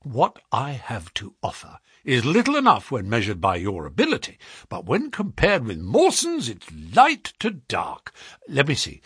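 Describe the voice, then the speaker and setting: A man voicing a brisk, sharp-talking middle-aged male financier in a read-aloud story, speaking eagerly and quickly. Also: mid-range voice, huge pitch swings, husky, no echo, studio quality, heavily acted.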